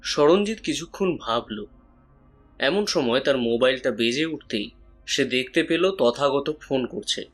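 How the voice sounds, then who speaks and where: A man's voice: medium pitch, animated, a little slow, soft background music. A man reading scripted detective story narration as the narrator of an audio drama.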